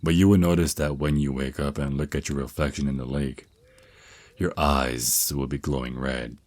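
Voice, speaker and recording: A man's voice: rumbling low, flat delivery, normal speed, a little mellow. A man playing a tender, reassuring vampire naga mentor and boyfriend in an ASMR roleplay, speaking soothingly and affectionately. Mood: gentle, encouraging.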